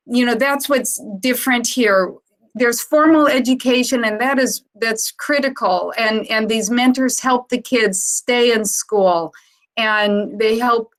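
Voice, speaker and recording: Slow-talking woman with a higher voice. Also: fairly bright tone, low-quality recording. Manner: halting, unscripted, reflective. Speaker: founder presenting to donors. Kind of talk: informal slide presentation on a conference call. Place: online video conference call.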